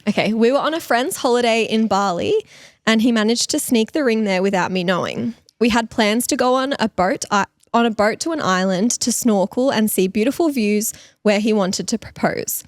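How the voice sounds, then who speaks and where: A woman's voice: on the high side, normal speed, no echo, studio quality. A woman co-hosting a podcast, chatting and reading listener stories aloud. Her voice is partly lost, and she laughs often. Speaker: podcast co-host.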